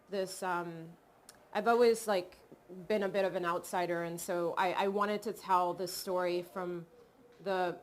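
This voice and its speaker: A woman from Cameroon, a film director, talking reflectively in an interview with many pauses and restarts. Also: fairly low pitch. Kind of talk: video call interview.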